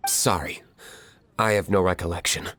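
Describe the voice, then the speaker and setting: An actor playing a curt, cool tavern owner in a video game, dry and restrained. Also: male voice, low voice, moderate pace, fairly bright tone, no room sound.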